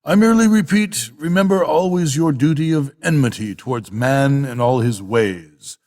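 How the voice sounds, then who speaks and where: An older man voicing an old boar, a solemn, rousing elder of farm animals, giving a measured, emphatic storybook speech. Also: fairly high pitch, a little mellow, lightly acted. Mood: solemn, commanding. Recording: long hall-like reverb.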